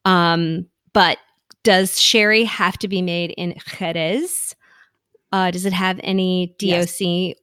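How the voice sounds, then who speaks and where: A woman's voice: fairly low pitch, steady tone, takes it slowly, a bit bright, clear, resonant tone, no room sound, clean studio sound. A middle-aged woman co-hosting a podcast, chatty and giggly, rambling through a personal story. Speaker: podcast co-host. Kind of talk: casual conversational chat.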